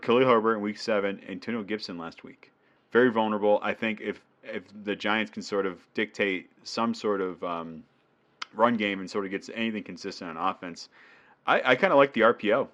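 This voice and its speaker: A man's voice: lowish voice, sharp tone, dull audio. A man with a New England accent co-hosting a casual sports podcast, chatty and laughing often. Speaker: podcast co-host.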